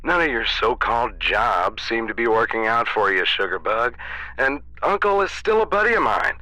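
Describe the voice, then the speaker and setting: A belittling, pushy dad. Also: male voice, mid-range voice, sing-song, normal speed, bright, forceful tone, no echo, rough recording. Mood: persuasive. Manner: lecturing, belittling, nicknames his child. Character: pushy condescending middle-aged father. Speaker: protagonist's dad. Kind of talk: audio drama acting.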